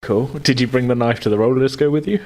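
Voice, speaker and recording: A man's voice: mid-range voice, fast, darkish tone. A man running a tabletop game as game master, narrating casually in hesitant, conversational phrasing.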